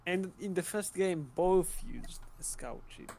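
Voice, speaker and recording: Game caster, male, Hebrew native, easygoing and hesitant, a touch high-pitched. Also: animated, slow, darkish tone. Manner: chatty, hesitant, laughs often. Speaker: tournament commentator. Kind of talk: casual game commentary. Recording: faint background noise.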